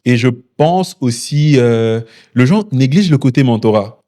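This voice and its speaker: Hesitant young man, dark voice. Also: medium pitch, expressive. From DR Congo. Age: 20s. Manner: measured, with many hesitations. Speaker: founder and CEO of a delivery startup. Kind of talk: interview answers.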